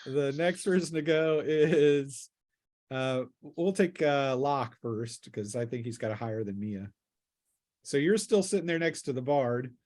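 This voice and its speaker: A man's voice: mid-range voice, animated, unhurried, a little mellow. A man acting as game master, casually narrating a tabletop role-playing session and piecing scenes together aloud as he goes.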